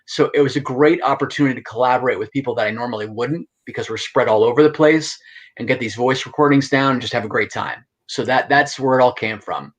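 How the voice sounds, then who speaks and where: A man's voice: medium pitch, fast. A middle-aged man, an actor and writer, explaining his work as a guest in a casual, enthusiastic interview chat.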